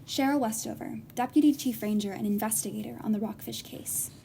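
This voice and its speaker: A woman's voice: a little high, brisk, vocal fry, background noise. A woman playing a composed, ominous investigative reporter, narrating scripted radio drama with an American accent. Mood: probing. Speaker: podcast host and narrator. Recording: music under the voice.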